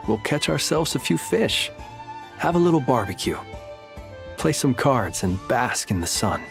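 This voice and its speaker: An actor voicing a calm, teasing male lighthouse keeper in a game, speaking with dry humor and an unhurried manner. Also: medium pitch, creaky, music in the background. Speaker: game character.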